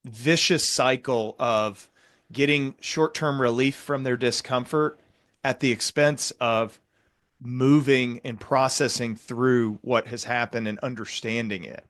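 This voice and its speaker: A man hosting a podcast as a clinician, giving conversational clinical commentary in a measured, explanatory way. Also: mid-range voice, fairly even intonation, fairly bright tone, no echo, studio quality.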